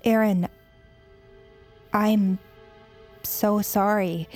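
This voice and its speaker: A woman playing a chatty, sardonic but loyal teenage girl in a naturalistic audio drama, with wry, expressive delivery. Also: medium pitch, extremely slow, faint music behind. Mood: concerned, deflated.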